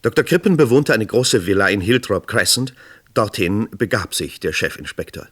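Low, husky male radio-play narrator. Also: expressive, normal speed, a bit bright. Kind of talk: scripted radio drama narration.

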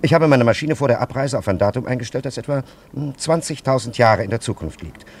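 An actor playing a solemn, condescending elderly scholar from antiquity in a radio play, with archaic and over-courteous diction. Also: male voice, medium pitch, expressive, quick pace, slight background noise, lightly acted. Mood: solemn explaining. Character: solemn, condescending ancient scholar and time traveller, elderly man.

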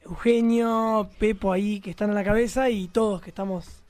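Argentine man, high-pitched, casual guest chat, performer, dry close sound. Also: steady tone, moderate pace, darkish tone, no room sound. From Chillar, Argentina. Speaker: radio play performer, guest.

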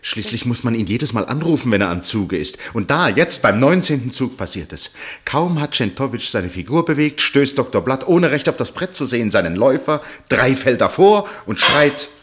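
A man playing a grave, concerned gentleman who narrates a radio drama in a dramatic, deliberate manner. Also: medium pitch, very animated, breathy edge. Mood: excited, tense.

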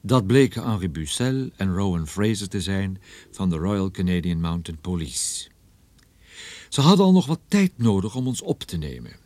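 Wry male private eye narrator, radio drama, lowish voice, very varied pitch. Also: big pitch swings, normal speed, darkish tone, no echo. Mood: wry, bemused. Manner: dry, ironic, literary phrasing. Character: wry male private detective narrating his own story. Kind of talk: scripted first-person radio drama narration.

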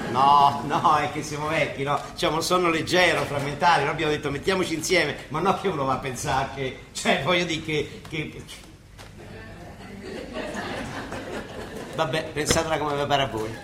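An actor playing a sardonic, exasperated old monsignor in a radio play, speaking with a colloquial Roman accent. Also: male voice, fairly high pitch, fairly quick, hardly any pauses, reverberant, noisy background, close to an everyday voice. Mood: flustered. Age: older. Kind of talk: Italian theatrical radio comedy-drama acting.

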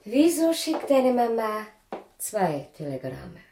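A woman's voice: fairly high pitch, very animated, takes it slowly, gravelly, warm tone, reverberant, a little hiss or hum, heavily acted. An actress playing an indignant, suspicious circus woman who questions and accuses, in radio drama style. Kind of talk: 1980s German radio drama acting.

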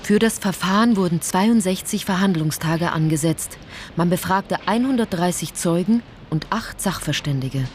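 An actress playing a wry, probing narrator and investigator in a radio drama, speaking in a dry, insistent way. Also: female voice, on the low side, normal speed, a little mellow, slight background noise, natural voice.

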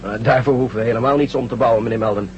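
Man as fast-talking radio play scientist, muffled. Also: mid-range voice, fairly even intonation, a little mellow, lightly acted. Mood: assured. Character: respectful, confident male scientist aboard a spaceship. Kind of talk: Dutch radio drama acting. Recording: muffled, noisy old radio drama recording.

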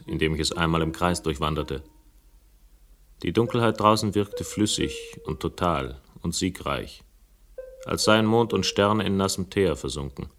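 An actor playing a world-weary, sardonic hero of a radio crime drama, speaking in a dry, laconic way. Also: male voice, low voice, gravelly. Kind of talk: German radio crime drama, first-person narration and dialogue. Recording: faint noise, older radio production.

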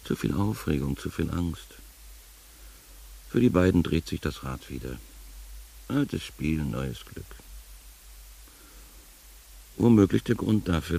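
A man acting a world-weary, sardonic philosopher in a poetic radio play, with a gravelly voice and a musing, drawn-out delivery. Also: deep voice, a little mellow, exaggerated voice.

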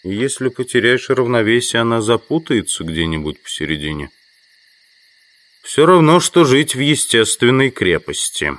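An actor playing a weary, educated insect collector, thinking aloud in a halting inner monologue for an audio drama. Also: male voice, medium pitch, very animated, fairly bright tone, no room sound. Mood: wary, observing.

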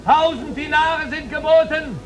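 A man's voice: very high voice, unhurried. A man playing a florid slave-market auctioneer in a 1950s radio drama, crying out ornate sales patter. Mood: proclaiming, enticing. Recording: noisy 1950s radio recording.

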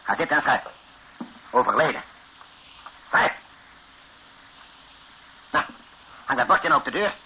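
Bossy colonel, wildly swinging pitch. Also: male voice, sing-song, takes it slowly, pauses often. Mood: insistent. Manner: curt, commanding. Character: brisk, bossy army colonel giving orders. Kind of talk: radio drama acting. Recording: tinny, slightly noisy old radio recording.

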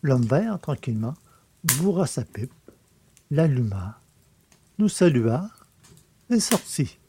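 A man reading scripted literary narration as an audiobook narrator, with a mellow, slightly husky voice. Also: mid-range voice, sing-song, slow, slight background noise.

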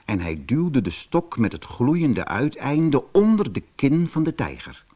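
A man's voice: mid-range voice, wildly swooping pitch, measured pace, mellow. Een man die als verteller een sprookje voorleest voor kinderen. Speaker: storyteller. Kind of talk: scripted fairy-tale narration for children.

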